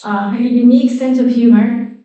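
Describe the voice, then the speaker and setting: A woman with a Chinese accent introducing fellow musicians onstage at a concert, halting and self-correcting with many fillers. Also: a little high, steady tone, warm tone. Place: concert hall. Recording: echoey hall, voice from a distance.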